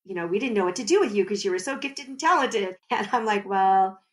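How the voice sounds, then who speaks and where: A woman speaks as a guest on a podcast, telling her story with animation. She explains things at length and laughs often, her voice sitting in an ordinary middle pitch. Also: animated, fairly quick. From United States. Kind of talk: conversational podcast interview.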